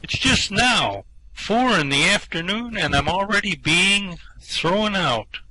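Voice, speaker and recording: A man plays an aggrieved everyman earthman in a comic sci-fi radio play, plaintive and protesting. The voice is fairly high and tense, drawn out in slow complaint, recorded dry. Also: lively intonation, pushed and bright, no echo, full character voice, poor audio. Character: flustered, indignant ordinary earthman, plaintive complainer.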